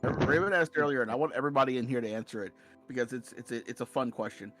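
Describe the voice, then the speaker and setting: A man's voice: medium pitch, rapid-fire, a bit bright, poor audio. Guy hosting a stream chat, mid voice, casual, music going. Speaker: livestream host. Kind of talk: casual chat, moderating questions.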